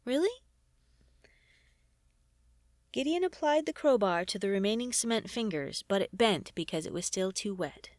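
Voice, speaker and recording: Audiobook reader: woman, mid-range voice, expressive fantasy storytelling, very little room sound. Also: medium pitch, a little fast, hardly any pauses, no room sound, clean studio sound. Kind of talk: scripted narration of fantasy fiction.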